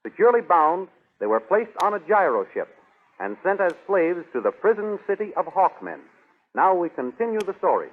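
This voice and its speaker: An American man announcing and narrating in formal, dramatic 1930s radio style, with declamatory delivery. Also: a little high. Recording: tinny 1930s radio broadcast recording.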